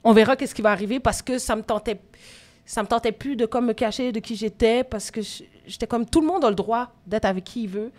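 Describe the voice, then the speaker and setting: A woman's voice: fairly high pitch, fairly quick, darkish tone. A woman in her thirties from Quebec with a Québécois accent, a rapper guest telling her story openly in an interview. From Quebec, Canada. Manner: open, reflective personal storytelling. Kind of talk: candid conversational interview. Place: live podcast taping at a venue. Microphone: phone recording.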